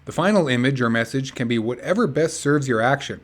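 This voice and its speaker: A man's voice: medium pitch, animated, brisk. A man reading a book aloud in an informal, chatty way with casual asides. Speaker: reader of an activist book.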